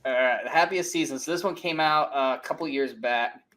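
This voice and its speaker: A man co-hosting a casual movie debate podcast, animated and loose, with many fillers, laughs and the odd swear. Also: medium pitch, a little fast. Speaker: podcast co-host.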